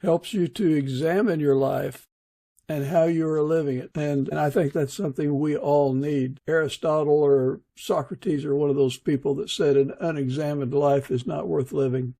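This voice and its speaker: Male podcast host, dark mellow tone w lots of fry, takes his time, kinda halting. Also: a little high, fairly even intonation, dry recording. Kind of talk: casual interviewing.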